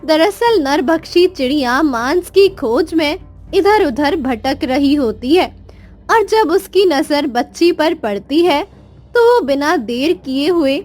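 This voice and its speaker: A woman's voice: high-pitched, lively intonation, takes it slowly, a little mellow. A woman narrating a scripted children's story. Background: music.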